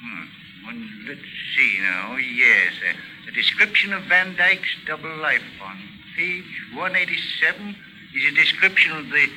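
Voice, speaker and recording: An actor playing a genial, boozy, rambling sidekick with hesitant, playful delivery in 1940s radio drama. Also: male voice, a little high, expressive, a little slow, sharp tone, full character voice, rough recording. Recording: vintage 1940s radio broadcast.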